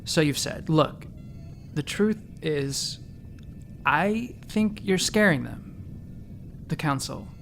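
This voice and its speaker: An actor playing an earnest, wry doctor in a sci-fi comedy audio drama, by turns sarcastic and flustered, with halting delivery. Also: male voice, on the high side, animated, gravelly, fairly bright tone, a little hiss or hum, heavily acted. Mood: dry, pointed. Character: earnest, wry young doctor sent to watch his superior. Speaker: doctor, chief of surgery.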